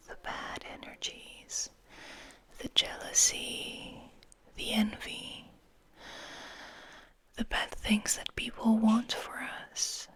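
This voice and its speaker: A woman whispering breathily in a slow, soothing guided ASMR style, lingering on her words. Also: fairly high pitch, fairly bright tone. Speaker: ASMR host.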